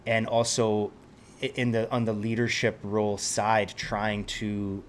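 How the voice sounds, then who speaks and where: A man's voice: lowish voice, flat delivery, measured pace, slight background noise. A man from Switzerland, a helicopter pilot being interviewed, talking in a reflective way and often restarting his sentences as he searches for words. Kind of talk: casual conversation.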